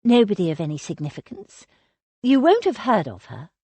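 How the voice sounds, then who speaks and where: A woman voicing a shy but quietly defiant young woman in a storybook reading, speaking softly and earnestly. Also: medium pitch, wildly swooping pitch, normal speed, slightly rough, no room sound.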